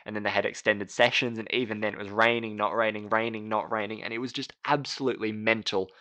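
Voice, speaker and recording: Bright, chatty man talking motorsport. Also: fairly low pitch, steady tone, fast. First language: English. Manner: chatty, frequent fillers and restarts. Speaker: podcast co-host. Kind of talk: casual motorsport chat.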